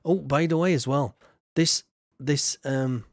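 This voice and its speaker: A man's voice: fairly high pitch, slow, no room sound, studio quality. A man streaming a farming game, talking to chat in a put-on rustic farmer voice, rambling and joking. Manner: puts on a rustic backwards-farmer voice; jokey and rambling, greets chatters by name. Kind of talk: chatty in-character streaming with chat.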